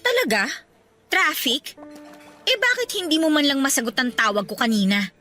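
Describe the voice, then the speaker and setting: A woman's voice: high-pitched, sing-song, moderate pace, sharp tone, faint music behind, exaggerated voice. A woman with a Filipino accent playing a fed-up young girlfriend in a radio drama, nagging and accusing with emphasis. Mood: annoyed, scolding. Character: fed-up young woman confronting her unfaithful boyfriend.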